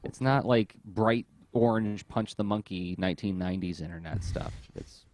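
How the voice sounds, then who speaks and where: A man's voice: fairly low pitch, moderate pace, a little mellow, no echo. A man hosting a podcast conversationally, thinking aloud and rephrasing his questions as he goes. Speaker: podcast host, interviewer. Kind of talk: casual conversational interviewing.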